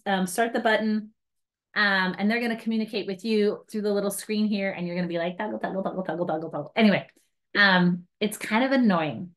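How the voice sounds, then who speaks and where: A woman trainer walking guides through a device step by step in an informal, chatty way, with light self-mocking asides. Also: medium pitch. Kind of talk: informal hands-on device tutorial.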